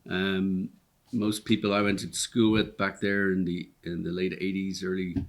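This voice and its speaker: A man's voice: low voice, little pitch movement, moderate pace. A man in his fifties with a Dublin Irish accent, a restaurant owner telling his story in an easygoing, rambling way with plenty of ums. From Ireland. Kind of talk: casual interview chat. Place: inside one of his restaurants.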